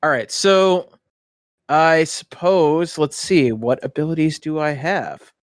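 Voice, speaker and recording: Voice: medium pitch, slow pace, dry recording, clean studio sound. A tabletop game player chatting casually and thinking aloud.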